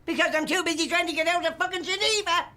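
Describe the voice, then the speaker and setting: A woman's voice: very high voice, steady tone, fast, pushed and bright. An actress playing a muddled, blunt woman booking a trip. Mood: exasperated. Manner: blunt, muddled, increasingly exasperated.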